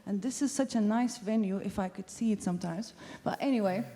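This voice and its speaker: A woman's voice: mid-range voice, brisk, warm tone. Turkish woman presenting on a festival stage. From Turkey. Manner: casual, with frequent um and uh fillers. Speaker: studio co-founder giving a festival talk. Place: large festival venue stage.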